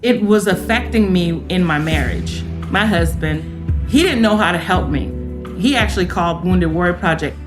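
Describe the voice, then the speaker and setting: A woman's voice: fairly low pitch, animated, normal speed, music in the background. A woman veteran giving an earnest, reflective testimonial. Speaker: veteran in a charity ad.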